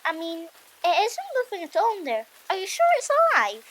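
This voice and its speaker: Woman voicing an earnest, very high-pitched Viking boy. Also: big pitch swings, fairly quick. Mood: doubtful. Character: Fishlegs, earnest, anxious, loyal young Viking boy. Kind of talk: audiobook character acting.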